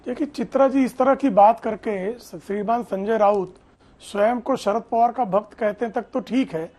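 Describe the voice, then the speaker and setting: Very high-voiced man arguing in political debate. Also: darkish tone. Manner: rhetorical, formal, emphatic. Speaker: political party spokesman, debate guest. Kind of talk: combative TV debate argument.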